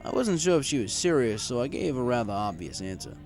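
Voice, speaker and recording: A man's voice: medium pitch, very animated, brisk, gravelly, darkish tone, soft background music. A man reading a first-person story in a wry, conversational way. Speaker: story reader. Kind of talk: first-person story narration with acted dialogue.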